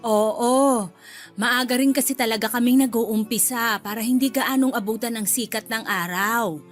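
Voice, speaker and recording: Chatty middle-aged Filipina mother-in-law, highish voice, woman actor. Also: normal speed, faint music behind, close to an everyday voice. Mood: breezy, friendly. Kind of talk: Filipino radio drama acting.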